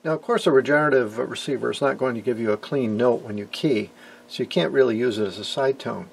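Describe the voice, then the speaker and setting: A man's voice: medium pitch, fast talker, vocal fry. A man giving a casual, technical hands-on demonstration as an amateur radio operator, explaining as he goes. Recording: loud radio static and noise behind.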